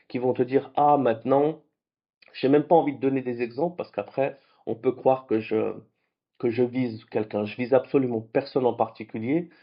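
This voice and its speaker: A man whose first languages are French and Arabic, hosting a late-night trading vlog in a lively, conversational way. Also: medium pitch, lo-fi sound. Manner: animated, conversational, with restarts. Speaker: trading channel host.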